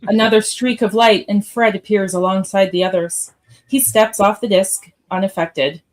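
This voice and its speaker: A woman's voice: medium pitch, fairly even intonation, moderate pace. A woman reading stage directions as the narrator of a live radio theatre script read.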